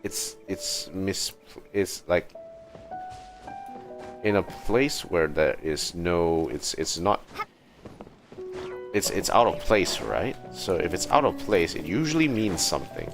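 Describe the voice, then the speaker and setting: A man giving casual livestream commentary as he plays a game, musing aloud in a halting, hesitant way. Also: sits low, very animated, creaky. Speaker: livestream host playing a game. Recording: game music behind the voice. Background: video game music.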